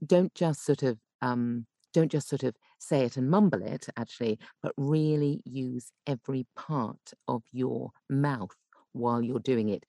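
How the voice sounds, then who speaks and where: A woman's voice: a bass voice, unhurried, gravelly, dark tone, dry recording, studio quality. A woman giving tips as a podcast guest in casual, chatty and emphatic conversation. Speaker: podcast guest, voice actor and coach.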